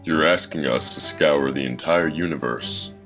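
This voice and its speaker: Very deep, grim superhero. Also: male voice, a little slow, bright, forceful tone, faint music behind, heavily acted. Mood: stern, threatening. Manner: curt, accusatory. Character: stern, suspicious male superhero, grim and threatening.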